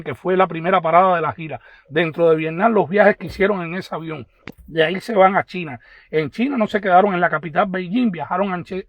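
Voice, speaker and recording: A man's voice: high voice, no room sound, highs cut off. A man with a Havana Cuban accent hosts a live online news commentary, talking to viewers in an emphatic, insistent way and repeating his phrases. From Cuba. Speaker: host of an online news page.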